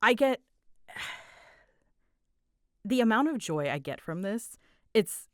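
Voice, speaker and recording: A woman's voice: medium pitch, very animated, very slow, dry recording, studio quality. A woman chatting casually and animatedly as she answers listener questions, with a giggly, self-deprecating manner. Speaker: hobbyist audio roleplay creator answering listener questions.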